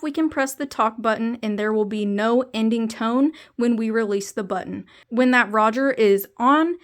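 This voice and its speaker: A woman with a slightly high voice narrates a hands-on product review. She speaks as a reviewer addressing viewers, explaining each point step by step.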